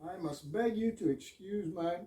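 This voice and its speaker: Echoey, mellow woman reading a polite fire chief. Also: lowish voice, normal speed, slight background noise, natural voice. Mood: hesitant, apologetic. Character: polite, earnest fire chief on official business. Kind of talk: amateur readers theater stage reading. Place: echoey room at a live readers theater. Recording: echoey room, distant microphone.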